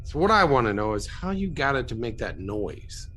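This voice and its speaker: An actor playing a skeptical, plain-spoken American man in a radio drama, blunt and doubting, with a gravelly, husky voice. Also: mid-range voice, big pitch swings, normal speed, sharp tone, soft background music. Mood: doubtful, startled.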